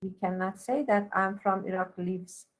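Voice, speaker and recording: A woman teacher giving halting, corrective and instructive one-to-one feedback on a student's writing. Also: mid-range voice, little pitch movement.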